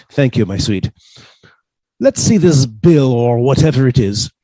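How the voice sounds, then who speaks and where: A man's voice: medium pitch, lively intonation, slow pace, mellow, no room sound. A man playing a mannerly, henpecked professor who is secretly a scheming killer, with formal old-fashioned phrasing, in a radio suspense play. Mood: uneasy, mounting dread. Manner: formal, old-fashioned turns of phrase, hesitant when flustered.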